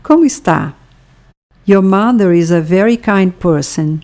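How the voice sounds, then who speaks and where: Slow, mellow Brazilian woman teaching. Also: medium pitch, lively intonation. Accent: Brazilian Portuguese. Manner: slow, careful bilingual reading with pauses for repetition. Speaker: language teacher. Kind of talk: slow scripted language lesson.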